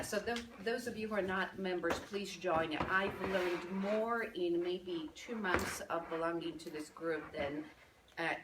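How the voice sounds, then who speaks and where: A woman with a Polish accent speaks in an engaging, lively way. Her voice carries through an echoey room, and she sounds some distance from the mic. A faint hum of ambience sits underneath. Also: medium pitch. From Poland. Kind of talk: motivational business talk. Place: event room with audience.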